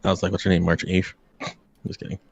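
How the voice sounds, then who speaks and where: A man's voice: sits low, completely flat delivery, quick pace, creaky, breathy edge, no room sound, lo-fi sound. A man chatting casually as a guest commentator, stammering and often repeating his words. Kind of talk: casual reaction chat.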